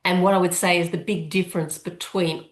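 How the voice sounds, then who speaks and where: A woman with an Australian accent, speaking as a guest author in a webinar, measured and explanatory. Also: on the low side, little pitch movement, echoey room. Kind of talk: webinar conversation. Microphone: video call.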